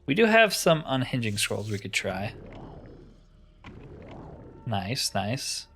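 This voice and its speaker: A man's voice: lowish voice, big pitch swings, slow, gravelly, noisy background. A man giving casual let's-play commentary, musing aloud with wry asides as he plays. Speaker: gamer narrating his playthrough.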